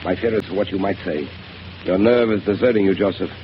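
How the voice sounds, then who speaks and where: A man's voice: sits low, a little fast, music in the background, natural voice. An actor playing a cold, menacing male pirate mastermind who threatens with mocking politeness, in 1930s radio drama style. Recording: hissy lo-fi 1930s radio broadcast.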